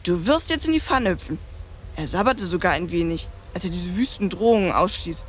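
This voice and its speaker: A woman's voice: fairly low pitch, expressive, brisk, slight background noise, natural voice. Radio play: adult actress as brash young girl, precocious and scornful, bossy, slangy chatterbox. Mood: gleeful storytelling. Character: cheeky, streetwise, precocious young French girl, bluntly scornful.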